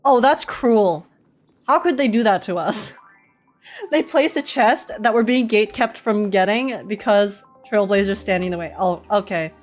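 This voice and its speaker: A woman's voice: medium pitch, moderate pace, soft background music. A woman reacting live to a story game, casual and playful, exclaiming and poking fun at herself. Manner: playful, self-deprecating, exclaiming.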